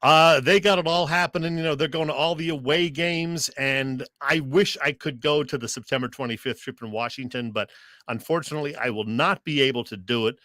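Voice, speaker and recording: A man's voice: fairly high pitch, brisk, sharp tone, no room sound. Casual male sports radio co-host, dry sound. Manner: conversational, joking.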